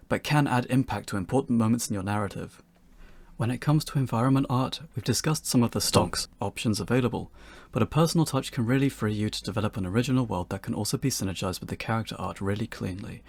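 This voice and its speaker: Guy narrating a tutorial, talks quick, raspy voice, really dry sound. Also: lowish voice, a little mellow, no echo. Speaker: presenter of a tutorial talk. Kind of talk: scripted narration for an instructional video.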